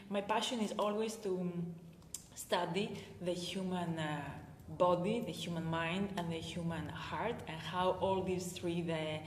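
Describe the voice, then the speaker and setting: A woman's voice: lowish voice, slight background noise. A Greek woman speaking English with a Greek accent, a performance coach talking live to her viewers, hesitant with many filler sounds. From Greece. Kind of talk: live-stream talk to viewers. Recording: echoey room with long reverb.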